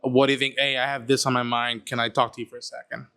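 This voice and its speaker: A man with a mid-pitched voice speaks reflectively on a creators' panel. He restarts often as he searches for the right words. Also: flat delivery, fast, creaky, bright, forceful tone. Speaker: content creator, panel guest. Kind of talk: casual panel chat.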